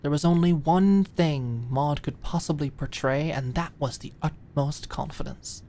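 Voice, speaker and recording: A woman narrates a scripted drama in a low register. She reads as an audiobook storyteller, with a faint rumble of noise in the recording. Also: measured pace, dark tone.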